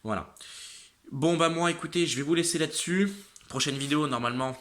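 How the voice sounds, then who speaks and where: A young man in his late teens talking to viewers in a casual vlog. He is exhausted and a little stuffed up from a cold, so his speech is halting, often trailing off and starting over. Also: a little high, fairly bright tone. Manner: halting, trailing off and restarting sentences. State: exhausted and slightly congested. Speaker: motorcycle channel host.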